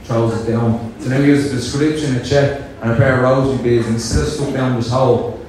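A man's voice: mid-range voice, steady tone, fairly quick, a little mellow. Young Dublin-accented man, loose and self-deprecating; large reverberant hall, distant mic, faint background hiss. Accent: Dublin Irish. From Dublin, Ireland. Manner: nervous, self-joking, loose with many fillers. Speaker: speaker sharing his story at a convention. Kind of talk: personal recovery talk. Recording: echoey hall, picked up from afar.

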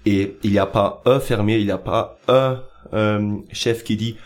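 A man's voice: fairly low pitch, fairly even intonation, measured pace. A young German-speaking Belgian man, a podcast guest, speaking French hesitantly and often searching for words. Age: young adult. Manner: hesitant, many fillers and restarts, searches for words. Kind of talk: political podcast discussion.

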